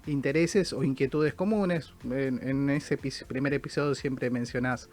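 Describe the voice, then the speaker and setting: A man's voice: mid-range voice, moderate pace, darkish tone. A man hosting an interview, asking long, winding questions broken up by filler sounds. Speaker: interviewer, host.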